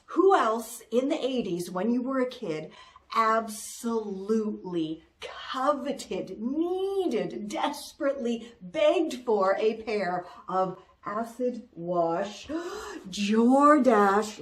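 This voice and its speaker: Middle-aged woman, craft-tutorial host: high-ish voice, bubbly and excitable, stuffy with a cold. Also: slightly rough. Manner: bubbly, excitable, laughs often. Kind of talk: chatty step-by-step craft tutorial.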